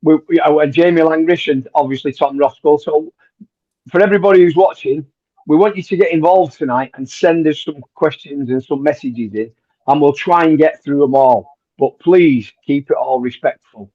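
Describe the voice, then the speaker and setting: A man's voice: fairly high pitch, normal speed, mellow. A man hosting a podcast, talking casually with lots of hesitations and repeated words. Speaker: podcast host. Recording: dull lo-fi audio.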